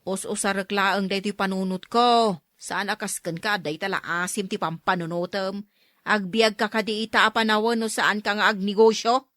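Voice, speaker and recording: A woman's voice: medium pitch, steady tone, normal speed, dry recording, studio quality, close to an everyday voice. An actress playing a shrewd, scheming mother who haggles and scolds, in a drama. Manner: sharp-tongued, bargaining, scolding. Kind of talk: radio drama acting.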